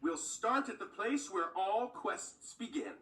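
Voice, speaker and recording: A man playing a brash young elf obsessed with magic, speaking grandly in an animated film style. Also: sits high, unhurried, creaky, exaggerated voice. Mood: grandiose. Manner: theatrical, grandiose. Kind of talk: animated film character acting. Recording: roomy, distant film audio with music.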